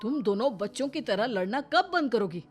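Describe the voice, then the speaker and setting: A woman's voice: fairly high pitch, animated, normal speed, slight background noise, lightly acted. A woman playing an older mother-in-law in a family story, caring but firm and admonishing. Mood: firm scolding. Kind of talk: dramatised Hindi family story.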